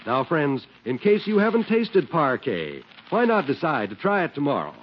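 A man's voice: on the high side, very animated, normal speed, slight background noise. A man announcing in a scripted 1940s radio advertising and narration style. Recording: muffled 1940s radio recording.

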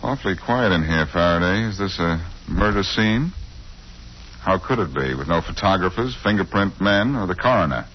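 An actor playing a cool, glib amateur sleuth in 1940s radio style, on an old muffled recording. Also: male voice, sits low, animated, normal speed, a little hiss or hum. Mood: wisecracking. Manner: glib, teasing. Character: wisecracking, cool, unflappable amateur sleuth man.

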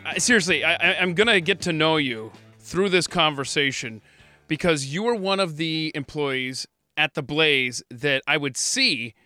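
A man hosting a casual interview, upbeat and quick to laugh, often repeating his words. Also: on the high side, bright, forceful tone, faint music behind. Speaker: podcast interviewer.

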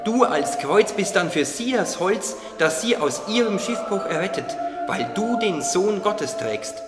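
A rather high voice rings in an echoey hall over music. It is a small, wise caterpillar voiced by a man that speaks with gentle encouragement in a radio play. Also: lively intonation, a little slow. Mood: tender. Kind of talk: German radio play acting.

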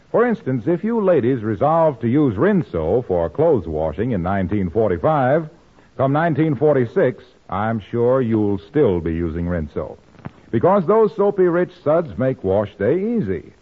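Lively 1940s male radio announcer, muffled. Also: medium pitch, breathy edge.

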